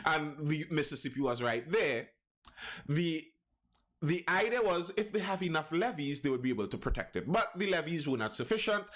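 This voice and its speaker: A man's voice: a little high, fairly bright tone. A Trinidadian man hosting a live stream, chatting loosely and reading out viewer comments with many restarts. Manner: chatty, digressive, restarts sentences. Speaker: live show host.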